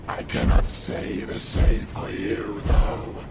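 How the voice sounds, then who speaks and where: Roaring, gravelly gargoyle chieftain. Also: male voice, fairly low pitch, expressive, takes it slowly, exaggerated voice. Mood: scornful fury. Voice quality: gravelly, growling monster voice. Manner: bombastic, threatening, archaic speech. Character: menacing, wrathful gargoyle chieftain, a monster servant of darkness. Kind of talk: fantasy audio drama acting. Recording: loud music behind the voice. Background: loud music.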